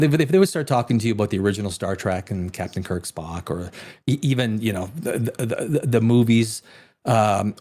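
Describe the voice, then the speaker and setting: A middle-aged man who grew up in Canada, hosting a casual talk show, rambling and animated, restarting sentences and dropping to a near whisper at times. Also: medium pitch. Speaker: host.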